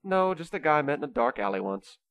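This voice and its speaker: A man's voice: fairly high pitch, very animated, fast talker, fairly bright tone, dry recording. A man playing a kindly, patient Saint Nicholas who reasons earnestly, in an amateur comedic radio play. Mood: reassuring, earnest.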